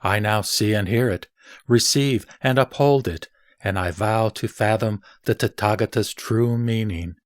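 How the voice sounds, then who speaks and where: A man's voice: on the low side, a little slow, dry recording, studio quality. A man giving a solemn scripted recitation as a reader of verses and headings.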